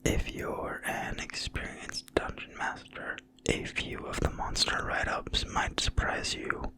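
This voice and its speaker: An ASMR host reading a game manual aloud in a quiet, breathy, unvoiced whisper with deliberate phrasing. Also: rough recording. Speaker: ASMR video host and reader.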